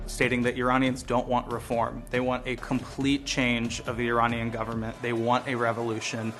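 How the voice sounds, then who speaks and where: A man's voice: mid-range voice, fairly bright tone. American man, earnest and reflective, documentary interview, guitar music underneath. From United States. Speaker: lawyer and street photographer. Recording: music bed playing behind voice.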